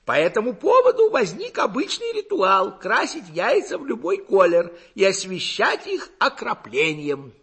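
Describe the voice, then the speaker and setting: A man's voice: high voice, wildly swooping pitch, moderate pace, few pauses, fairly bright tone, breathy edge, voice pushed up, full character voice. A man voicing a pompous, ignorant village lecturer in an audiobook, with a gurgling, throaty voice and affected, self-assured oratory. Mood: smug, gushing. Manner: affected, gushing, self-assured oratory in clumsy learned words. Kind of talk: audiobook character acting.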